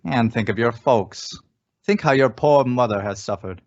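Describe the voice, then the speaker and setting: A man's voice: medium pitch, normal speed, darkish tone, close to an everyday voice. A man playing a folksy, insinuating former small-town police chief in an old-time radio suspense drama, coaxing and persuasive. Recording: dull, lo-fi audio.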